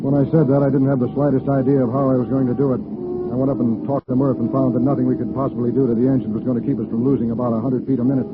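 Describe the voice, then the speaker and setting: A man with an American accent acting a brisk, steady bomber colonel in an old radio drama, clipped and commanding. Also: medium pitch, loud music behind the voice, close to an everyday voice. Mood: measured narration. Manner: clipped, commanding, gives orders. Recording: tinny, very noisy old radio recording.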